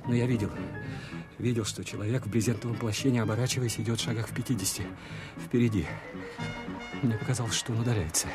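Male actor as young Soviet soldier, low pitch, tense first-person narration, confiding, war radio play, prominent music underneath. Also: steady tone, quick pace, natural voice. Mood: tense, urgent. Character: young Soviet counterintelligence soldier on night surveillance, obedient, tense. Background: loud music.

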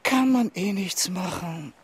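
A man's voice: high-pitched, animated, takes it slowly, slightly rough, full character voice. An actor playing a placid, bemused man in a German radio play, musing with detached understatement. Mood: mildly annoyed. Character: placid, bemused man, indifferent amid catastrophe.

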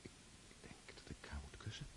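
A man's voice: fairly quick, a bit bright, natural voice. An actor playing an awkward, hesitant young suitor, murmuring his thoughts breathily in a radio drama. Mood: nervous. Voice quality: breathy, whispered. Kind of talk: radio drama inner monologue.

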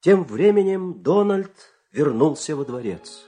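A man narrating a scripted fairy tale as an expressive storyteller. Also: a little high, a little slow, faint music behind.

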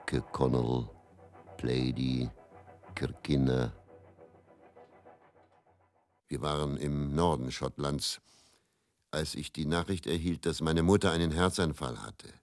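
An actor playing a bitter, world-weary travelling faith healer and quack in a radio play monologue, musing and theatrical. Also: male voice, a bass voice, darkish tone. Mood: incantatory, sombre. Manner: brooding, musing, repeats words, theatrical shifts between murmur and outburst.

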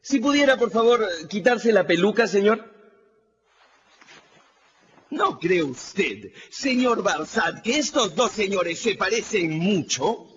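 An actor in a radio drama playing a shrewd, theatrical defence lawyer who questions with pointed mock politeness. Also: male voice, high voice, animated, takes it slowly, fairly bright tone, breathy edge, muffled recording, exaggerated voice. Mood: sly, probing.

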